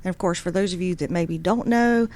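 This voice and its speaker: A woman's voice: lowish voice, a little fast, darkish tone. A woman, from Georgia, telling her story earnestly in a casual radio interview as a guest. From Georgia, USA. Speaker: guest, superior court judge.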